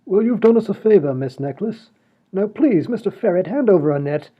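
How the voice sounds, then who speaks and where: A man's voice: sits high, expressive, a little fast. A man voicing a prim, polite upper-class lord in a comedy radio play. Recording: dull lo-fi audio.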